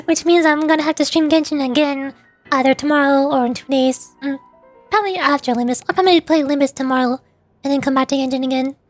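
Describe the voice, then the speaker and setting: A woman's voice: high voice, fast, no echo. A woman chatting casually on a livestream as a VTuber game streamer, in a giggly, rambling way. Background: faint music.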